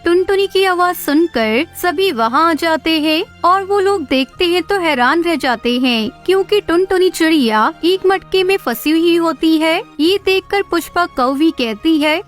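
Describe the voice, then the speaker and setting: Female narrator for children's fables, extremely high voice, scripted storytelling over a music bed. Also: expressive, measured pace, hardly any pauses.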